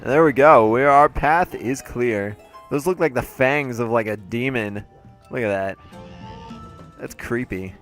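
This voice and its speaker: Male let's play host, mid-pitched, congested and playful, over soft game music. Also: unhurried. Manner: playful, chatty, jokey asides. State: congested, throat a little off. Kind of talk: casual gameplay commentary.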